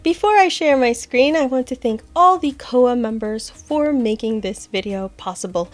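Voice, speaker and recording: A woman's voice: a little high, darkish tone. A woman hosting a tech vlog, talking casually through an app demonstration. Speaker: host of an accessibility tech channel.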